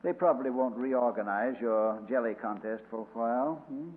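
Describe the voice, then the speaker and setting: A man's voice: medium pitch, normal speed, close to an everyday voice. A man playing a dry-witted genteel radio sleuth. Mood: wry. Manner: dry, witty, fussy. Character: genteel, wisecracking middle-aged male amateur sleuth. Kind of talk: 1940s radio acting. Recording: phone-like old radio broadcast, long hall echo, faint hiss.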